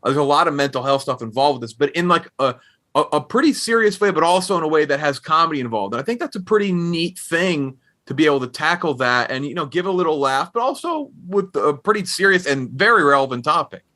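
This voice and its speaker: Man, podcast interviewer, rapid pace, bright punchy tone, informal casual chat. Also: on the high side, lively intonation.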